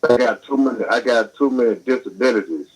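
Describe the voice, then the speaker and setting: A man's voice: medium pitch, a little fast. A man with a Southern accent talking over a bad phone line, rambling and defensive in slangy speech. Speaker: caller. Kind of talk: phone call on air.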